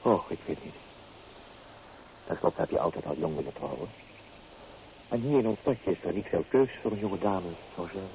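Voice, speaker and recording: An actor playing a teasing, free-spirited young painter in an old Dutch radio play, with theatrical delivery. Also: male voice, low voice, expressive, normal speed, gravelly, pauses often. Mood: teasing, surprised. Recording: muffled, noisy old radio recording.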